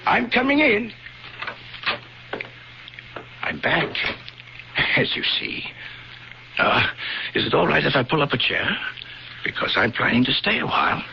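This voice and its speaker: A loyal, earnest friend telling his story in an old-time radio drama. His slightly high voice is measured and persuasive with animated swings. The recording is dull, with faint noise. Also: gravelly, exaggerated voice. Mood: gentle coaxing. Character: earnest, gently coaxing male friend who narrates the tale.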